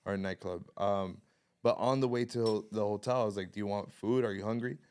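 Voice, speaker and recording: Young male podcast guest, low and smooth, easygoing storyteller who drops into Spanish. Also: brisk. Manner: relaxed storyteller, laughs often, mixes in Spanish. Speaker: podcast guest, photographer and videographer. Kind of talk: casual chat.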